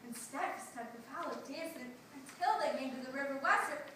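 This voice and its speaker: A woman's voice: high voice, lively intonation, normal speed, pushed and bright. A woman reciting narrative verse as part of a readers theatre group. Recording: distant, very echoey room, faint noise.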